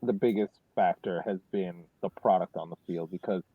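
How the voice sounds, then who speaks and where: A man's voice: low voice, steady tone, normal speed, breathy edge, no echo. Male sports panelist, dry phone-quality audio, conversational, emphatic, circles back to restate points. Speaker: podcast panelist. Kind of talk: casual sports analysis. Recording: muffled, narrow phone-like sound, dry.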